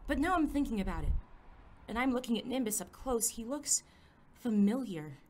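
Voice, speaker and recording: A woman playing a blunt, sarcastic high school girl, incredulous and snarky, in a comedic audio drama. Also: expressive, moderate pace, darkish tone, a little hiss or hum, natural voice. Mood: inner musing.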